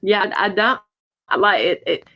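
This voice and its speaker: A woman's voice: medium pitch, moderate pace, highs cut off. A woman with a Southern US drawl, chatting casually and laid-back on a live stream. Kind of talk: casual chat.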